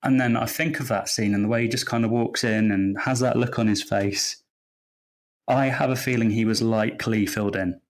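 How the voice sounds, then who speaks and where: A man speaking as a podcast guest in a casual fan discussion, agreeable and reflective, explaining his thoughts in a measured way. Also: mid-range voice, fairly even intonation.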